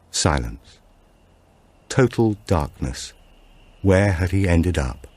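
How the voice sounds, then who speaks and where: A man's voice: low-pitched, a little mellow, no echo. A man reading a suspense thriller as scripted audiobook narration.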